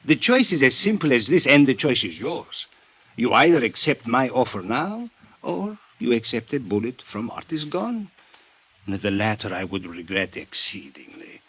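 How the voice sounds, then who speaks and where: Genteel male crime boss, florid and menacing, lively swings of pitch, vintage radio drama. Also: slightly rough. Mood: silky menace. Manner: florid, wheedling, formal speech with drawn-out endearments. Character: genteel, scheming foreign crime boss, falsely affectionate, menacing. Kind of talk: 1950s radio drama acting.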